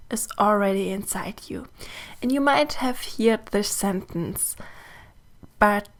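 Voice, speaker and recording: Slow, soft-spoken German woman podcaster. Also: mid-range voice. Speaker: podcast host and mentor. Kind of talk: slow reflective solo podcast talk.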